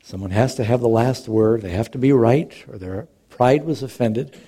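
A middle-aged man delivers a sermon as a pastor. His voice sits at an ordinary pitch but sounds mellow and warm. He talks in a relaxed, conversational way, mixing stories and humor into the preaching. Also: normal speed.

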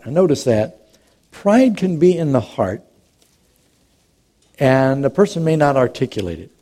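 A man's voice: a little high, warm tone. A middle-aged man, a pastor, preaching a sermon in a conversational, storytelling way with touches of humor.

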